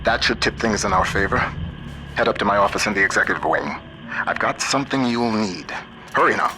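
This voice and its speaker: A steady older man, played by an actor, acting as a trusted guide in a video game. His voice is deep, earnest and plain-spoken, heard over a noisy radio link. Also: a little fast, natural voice. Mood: brisk. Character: steady, folksy older male scientist ally guiding the hero.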